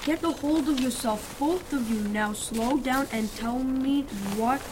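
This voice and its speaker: A woman's voice: sits high, measured pace, darkish tone, a little hiss or hum, voice pushed up. A woman voicing a wealthy, bossy elephant who lives in a mansion, in a storybook reading.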